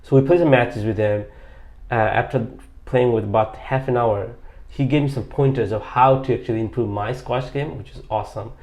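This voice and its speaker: A man's voice: mid-range voice, moderate pace, a little mellow. A man in his late twenties from Bangladesh telling his story as an interview guest, rambling with lots of fillers and restarts. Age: late 20s. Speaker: interview guest, squash coach.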